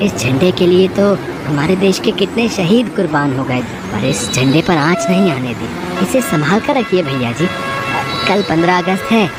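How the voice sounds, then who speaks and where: A woman voicing a humble male vegetable seller in an animated moral story, earnest and respectful with a pleading, hesitant manner. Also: lowish voice, normal speed, very noisy. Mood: solemn, earnest.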